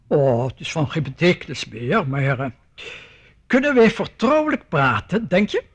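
An actor playing a sly, overly polite gentleman in a radio play, creaky and husky, with an insinuating manner. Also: fairly high pitch, sing-song, normal speed. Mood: smooth menace. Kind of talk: radio drama acting.

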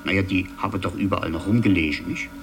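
An actor playing a chatty local man, husky and rambling, recounting gossip conversationally in a 1960s radio drama and seeking the listener's agreement. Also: sits low, fast talker, a bit bright, faint music behind. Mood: animated gossip.

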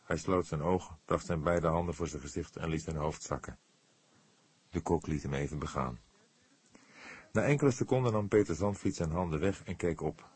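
A man's voice: low-pitched, normal speed, a little mellow, breathy edge, no echo. Een man die als luisterboekverteller een literaire tekst voorleest. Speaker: audiobook narrator. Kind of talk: scripted literary narration.

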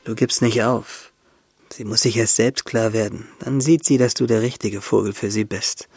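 Husky young man, bitter yet playful. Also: on the low side, fairly even intonation, moderate pace, no echo, clean studio sound. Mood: gently teasing. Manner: intimate, musing, asks and answers himself. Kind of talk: radio drama monologue.

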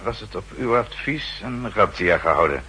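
An actor playing a formal, dryly polite aide to a spymaster in a 1960s radio drama, deferential, measured and precise. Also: male voice, lowish voice, lively intonation, sharp tone. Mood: measured briefing. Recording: 1960s radio recording, faint hum and noise.

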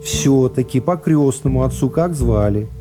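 A man voicing a courteous presiding judge in a radio drama, questioning softly and formally. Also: mid-range voice, moderate pace, music in the background, close to an everyday voice. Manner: soft, affable, formal questioning.

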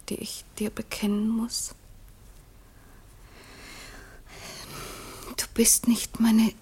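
An actress playing a lovestruck young bride in a German radio drama, with swooning, ornate declamation. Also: female voice, mid-range voice, breathy edge, exaggerated voice. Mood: confessing, tender. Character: lovestruck, rapturous daughter, a sentimental bride.

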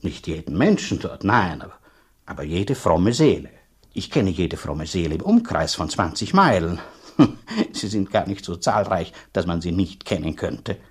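A blunt, condescending country dean in a radio drama. The voice is low and husky and swings widely in pitch. He talks on emphatically, haltingly, repeating himself with open scepticism. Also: very animated, exaggerated voice. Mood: doubtful, insistent. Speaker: actor in a radio play. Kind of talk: German radio drama acting.

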